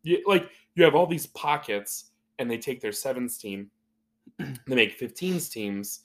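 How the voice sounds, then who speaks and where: A man's voice: medium pitch, expressive, unhurried. An American man of thirty-three chatting casually as a podcast host, rambling informally and saying like often. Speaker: podcast host and rugby player.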